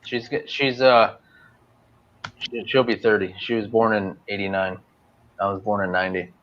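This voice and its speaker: A man in his late twenties talks casually on a livestream, pausing and filling his sentences with fillers. His voice sits at an ordinary pitch. The sound is a bit dull and muffled. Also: fairly even intonation, a bit bright. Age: 20s. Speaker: livestream co-host.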